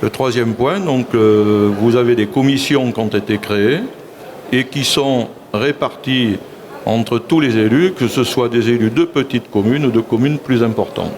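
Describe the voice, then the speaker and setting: A man's voice: mid-range voice, moderate pace, darkish tone, a little hiss or hum. A man, a local elected official, speaking assertively in a public panel talk and often saying "si vous voulez".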